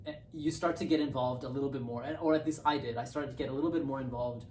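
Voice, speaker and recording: A young man from Bangladesh, raised in Canada, talking as a podcast guest in a thoughtful, halting way and repeating words while he thinks. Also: mid-range voice, steady tone, darkish tone, recorded from a distance. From born in Bangladesh, raised in Canada. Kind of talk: casual conversational interview. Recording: roomy, off-mic, faint hiss.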